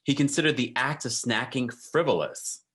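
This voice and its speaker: A man's voice: medium pitch, normal speed, fairly bright tone. A man hosting an online video, chatting casually and conversationally straight to his viewers. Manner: conversational, addresses viewers directly.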